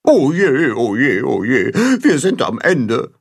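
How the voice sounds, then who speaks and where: A fearful storybook goose, played by a man, moans and frets. The husky voice sits high and wails with despair. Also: sing-song, slow, a bit bright, exaggerated voice. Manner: wailing, repetitive, despairing. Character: gloomy, fretful, fearful goose forever lamenting.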